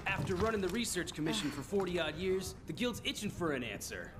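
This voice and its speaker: Animated, brash young adventurer. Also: male voice, high voice, fairly quick, noisy background. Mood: keen, curious. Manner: brash, friendly, excitable. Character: eager, chummy young male adventurer. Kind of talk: animated game cutscene dialogue. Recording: loud music underneath.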